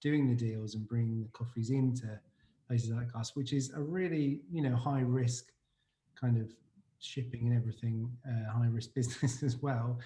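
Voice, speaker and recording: A man's voice: mid-range voice, fairly even intonation, normal speed, dark tone, roomy sound. A man hosting a casual podcast as a coffee roaster, talking enthusiastically and rambling a little with fillers.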